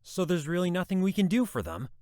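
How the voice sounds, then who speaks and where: A man's voice: high-pitched, big pitch swings, fast, darkish tone, dry recording, studio quality. A man acting as an earnest, timid police deputy in an audio drama, hesitant and apologetic. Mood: worried, pleading.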